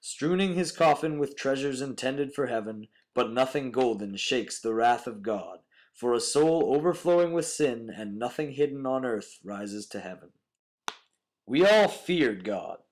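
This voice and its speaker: A man's voice: mid-range voice, lively intonation, unhurried. A young man, a student reader, reciting a solemn poem from a text. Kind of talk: poetry recitation.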